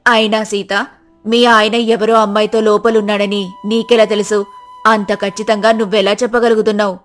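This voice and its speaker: A woman's voice: mid-range voice, level tone, normal speed, soft background music, voice pushed down, natural voice. A woman voicing a concerned village neighbor woman asking pointed questions. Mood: questioning. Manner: probing, concerned.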